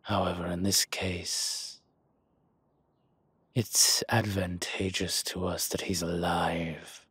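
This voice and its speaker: A man playing a suave, scheming dragon mob boss in an intimate audio roleplay, with measured, husky, breathy delivery and deliberate pauses. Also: low voice, flat delivery, a bit bright, no room sound, heavily acted. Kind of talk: slow, intimate scripted roleplay monologue.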